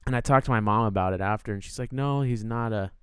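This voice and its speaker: Rambling, smooth-voiced young man. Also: lowish voice, quick pace, no echo, studio quality. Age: 20s. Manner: rambling personal anecdotes with restarts. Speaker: film review co-host. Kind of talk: casual chat.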